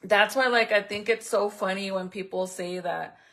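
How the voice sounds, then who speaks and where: A gravelly woman in her thirties, a native Spanish speaker, talks casually and bluntly while she works on a small craft. Her speech is chatty and profane, and she addresses her viewers directly. Her voice sits at a medium pitch with a steady rasp of vocal fry. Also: normal speed. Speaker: vlogger.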